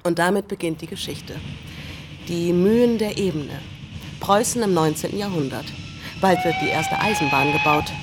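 An actor playing a dry, deliberate history narrator in a radio play, reading scripted narration. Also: mid-range voice, huge pitch swings. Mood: measured, dry. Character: measured, dry history narrator, weary of metaphors. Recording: noticeable ambient noise behind.

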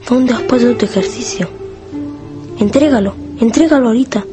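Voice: medium pitch, moderate pace, close to an everyday voice. Una voz joven interpreta a un escolar solidario e insistente en una radionovela, con habla coloquial y repetitiva. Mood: anxious insistence. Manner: pressing, repetitive, colloquial. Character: caring, insistent young schoolboy classmate. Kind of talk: radio drama acting. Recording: guitar music under the voice.

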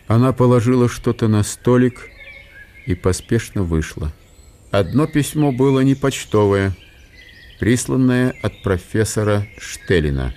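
A man's voice: mid-range voice, lively intonation, a little slow, darkish tone. A man reading scripted literary narration for a radio drama.

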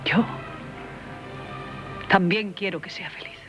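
A woman's voice: medium pitch, slow, music in the background, close to an everyday voice. An actress with a Castilian accent playing a humble, troubled married woman in love in a 1970s radio play, formal and hesitant. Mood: pleading. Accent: Castilian Spanish from Spain. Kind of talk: 1970s radio theatre acting. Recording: muffled old radio broadcast.

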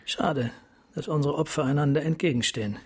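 A man playing a grave, resigned police captain in a radio drama, speaking deliberately and formally with a husky, commanding voice. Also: on the high side. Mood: grave, insistent.

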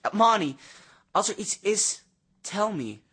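A man's voice: high voice, big pitch swings, slow pace, a bit bright, husky, heavily acted. An actor playing a chatty, open young male housemate in a radio drama, eager to please. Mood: concerned. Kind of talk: modern Dutch radio drama acting.

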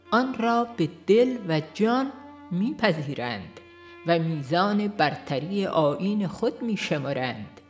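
A woman reading scripted audiobook narration in a measured, formal manner. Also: deep voice. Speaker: audiobook reader.

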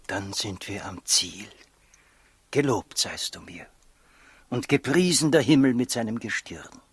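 An actor playing a solemn, devout wise man from the East, breathy and hushed, in a 1960s radio drama. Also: lowish voice, animated, unhurried, no echo, full character voice. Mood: solemn, reverent.

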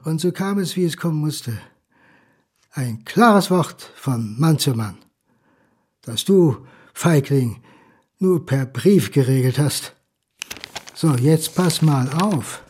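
A man's voice: a little high, animated, slow, pauses often, a little mellow, slightly rough, heavily acted. A man playing a bitter, lonely illegitimate son in a radio drama, theatrical and mocking as he addresses his absent father. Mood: bitter accusing. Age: middle-aged. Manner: theatrical, mocking, swinging between outbursts and whispers. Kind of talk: German radio drama monologue.

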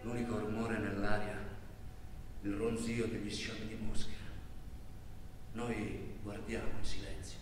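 Male stage narrator, slow and dramatic, hushed to declaiming, distant in a very reverberant hall. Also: lowish voice, level tone. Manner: dramatic, swinging from hushed delivery to loud declaimed cries. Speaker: stage actor. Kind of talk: theatrical monologue narration of a travel account. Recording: echoey hall, distant mic, music behind. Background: music.

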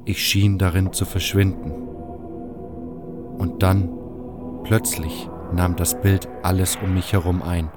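Deep-voiced man narrating over music. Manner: measured, deliberate phrasing with pauses. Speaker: narrator of fan radio play. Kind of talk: scripted dramatic audiobook narration.

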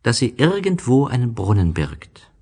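A man voicing a gentle, innocent young prince with a slow, wondering manner in a storybook reading. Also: medium pitch, wildly swooping pitch, voice pushed up. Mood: dreamy, earnest.

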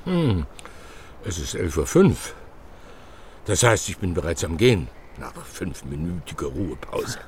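An actor playing a prickly, pedantic older scientist in a German radio drama, speaking in a precise, abrupt, formal way. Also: male voice, deep voice, very animated, a little slow, pauses often, a little hiss or hum, full character voice. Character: old scientist, curt, prickly, pedantic, lonely man.

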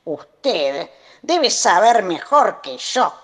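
A woman's voice: mid-range voice, very animated, very slow, heavily acted. A woman playing a pompous, manipulative grandfather reading his formal letters in an audio drama. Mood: condescending.

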